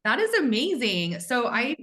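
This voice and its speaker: A woman's voice: a little high, sing-song, unhurried, fairly bright tone. A woman hosting an interview podcast, chatting casually and gushing with enthusiasm over her guest. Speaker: podcast host.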